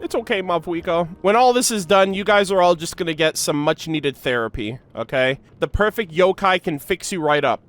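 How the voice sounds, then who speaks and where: A young man giving casual, slangy reaction commentary on a game, with a playful, excitable manner. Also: on the high side, moderate pace, few pauses.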